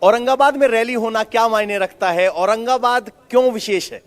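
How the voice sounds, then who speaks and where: A man's voice: very high-pitched, moderate pace. A man reporting live from the field for TV news and putting questions to people.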